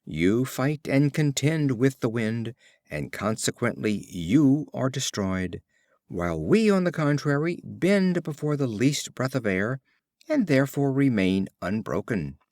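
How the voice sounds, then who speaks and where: A man voicing humble, wise storybook reeds in a measured, gently moralizing manner. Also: medium pitch, sing-song, dry recording, studio quality. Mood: calm reproof. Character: humble, calmly wise reeds answering a proud oak.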